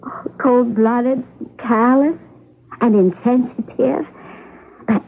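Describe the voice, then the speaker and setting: A woman's voice: on the high side, fairly even intonation, slow, creaky, slight background noise. An actress in a radio drama playing an eager, self-absorbed young aspiring actress with a gushing, girlish manner. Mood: excited, gushing. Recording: muffled, band-limited old radio broadcast.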